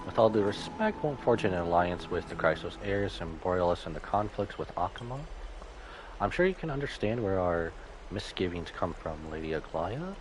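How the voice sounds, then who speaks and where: A man's voice: sits low, expressive, noisy background. An actor playing a courteous, cautious and reserved young male companion in a video game. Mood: cautious, diplomatic. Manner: polite, measured, reserved. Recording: game music behind.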